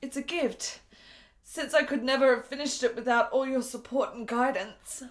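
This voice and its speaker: An actress playing a gravely ill, melancholy and intense woman in a period radio drama, speaking in deliberate, literary phrases. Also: high-pitched, fairly even intonation, natural voice. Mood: weary, tender.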